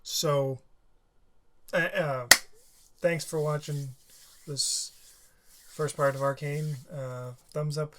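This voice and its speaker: A tired man with a slightly sore throat, talking through a reaction video in a halting, rambling way with many restarts. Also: on the high side, fairly even intonation, noisy background. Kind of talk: casual rambling commentary.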